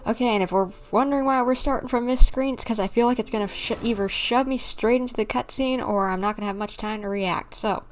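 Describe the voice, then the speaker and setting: A woman's voice: on the high side, fast, few pauses, slight background noise. A woman giving casual live gameplay commentary, full of mild, folksy exclamations. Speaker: game streamer.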